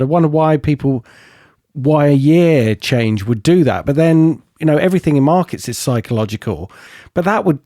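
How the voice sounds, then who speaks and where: A man co-hosting a podcast muses aloud in a hesitant way, feeling for his words as he goes. His voice is dark and mellow. The sound is dry and close, with no room in it. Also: mid-range voice, no echo. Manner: musing, hesitant, thinking aloud. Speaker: podcast co-host. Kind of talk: podcast interview.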